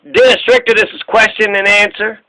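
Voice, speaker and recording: A man's voice: high voice, fairly even intonation, a little fast, sharp tone, rough recording. A man reading a political questionnaire aloud, sometimes stumbling and repeating phrases as he goes. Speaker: video creator reading aloud.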